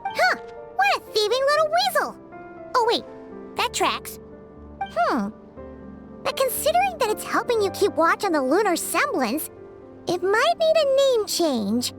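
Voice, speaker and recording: A woman voicing a tiny floating female companion who is excitable and chatty and speaks of herself in the third person, in a video game cutscene. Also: very high voice, measured pace, pauses often, exaggerated voice. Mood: excited, chatty. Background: game background music.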